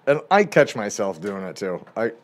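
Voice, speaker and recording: A man's voice: fairly low pitch, brisk. A man from Montana with a northern US accent, co-hosting a podcast in casual, joking chat. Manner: animated, joking, swears casually. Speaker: podcast co-host.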